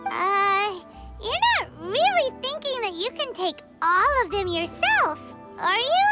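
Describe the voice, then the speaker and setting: Very high, squeaky childlike fairy. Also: female voice, big pitch swings, slow pace, full character voice. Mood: worried, surprised. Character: tiny excitable childlike female fairy companion. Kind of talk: video game voice acting. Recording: music behind the voice.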